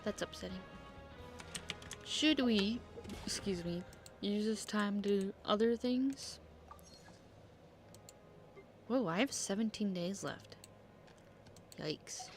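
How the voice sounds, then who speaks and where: Slow, unhurried woman streaming a game. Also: mid-range voice, vocal fry, over music. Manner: blunt, sweary, thinking aloud. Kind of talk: casual live gameplay reactions and chat. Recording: faint background noise, keyboard clicks.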